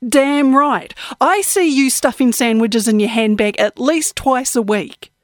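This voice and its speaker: A woman's voice: fairly high pitch, measured pace, no room sound, clean studio sound, lightly acted. Woman voicing foul-mouthed rattled young Lacey. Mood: defiant. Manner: profane, defiant, rattled.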